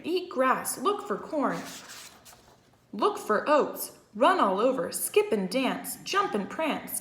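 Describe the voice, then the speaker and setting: A woman voicing a bossy female farm goose who talks fast and repeats her words, in an echoey room. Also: medium pitch, a bit bright.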